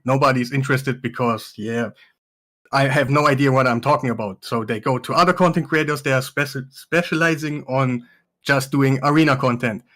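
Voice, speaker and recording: A man, a content creator on a casual call, talking in a halting way with repeated words and upbeat advice. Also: medium pitch, a bit bright. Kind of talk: casual panel chat.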